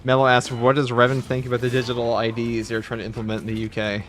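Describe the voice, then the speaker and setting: A man's voice: mid-range voice, completely flat delivery, quick pace, a bit bright. A man hosting a gaming livestream, reading viewer messages aloud and riffing on them casually. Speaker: streamer host. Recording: background noise under voice.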